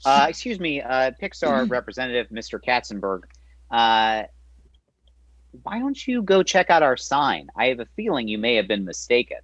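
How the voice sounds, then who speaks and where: A man's voice: medium pitch, sing-song, moderate pace, a bit bright, no room sound, highs cut off. A man improv performer speaking theatrically in a comedy scene over a video call.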